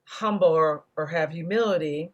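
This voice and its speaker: A woman hosting a panel discussion, speaking in a reflective, deliberate way and carefully spelling out what she means. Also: deep voice, lo-fi sound, a little hiss or hum. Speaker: panel host and moderator.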